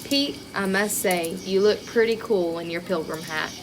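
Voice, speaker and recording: A woman reading a picture book aloud to young children in an expressive way, with chatty asides and questions to her listeners. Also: medium pitch, normal speed, noisy background. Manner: expressive, child-directed, with rhetorical questions and exclamations.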